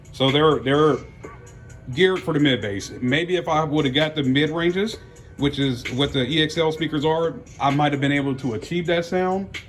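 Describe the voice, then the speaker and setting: An American man giving casual unboxing commentary as a car audio vlogger, talking in a folksy, slangy way. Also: medium pitch.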